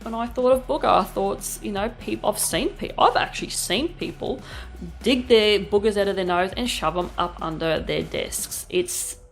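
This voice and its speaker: A woman's voice: medium pitch, moderate pace, creaky, faint music behind. Nasal, sniffly woman. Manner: blunt, swears casually, rambling with many fillers. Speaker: art channel host. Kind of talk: casual chatty voice-over while drawing.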